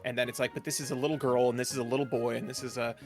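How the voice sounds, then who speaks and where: A man's voice: mid-range voice, little pitch movement, very fast. Guy co-streaming, mid voice, rambly jokes and swearing, faint music. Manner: rambling, joking, swears casually. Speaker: co-streamer guest. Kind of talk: casual chat while streaming a game.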